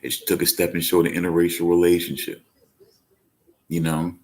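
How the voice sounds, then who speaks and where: A man hosting a live podcast, talking casually about actors and films in a rambling way full of fillers and restarts, getting louder when he makes a point. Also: sits low, completely flat delivery, moderate pace. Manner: speaks up when emphatic. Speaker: podcast host. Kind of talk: casual live-stream talk.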